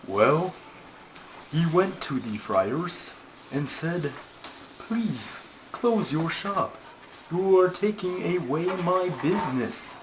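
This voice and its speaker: A man's voice: a little high, sing-song, takes it slowly. A man voicing a pompous, schoolmasterly turtle puppet who tells stories in a ponderous, formal, easily put-out way. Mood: grave storytelling. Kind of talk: comic scripted storytelling. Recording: noisy amateur home recording.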